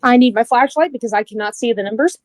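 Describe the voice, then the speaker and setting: A woman's voice: a little high, fast, no echo. A woman talking casually and informally, with some swearing, as a player in a tabletop game.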